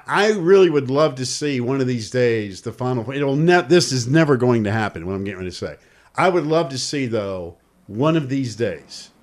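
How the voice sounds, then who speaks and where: A man hosting a sports talk radio show, chatting with a co-host and taking phone calls in an emphatic, repetitive way. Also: medium pitch. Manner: chatty, emphatic, repeats himself.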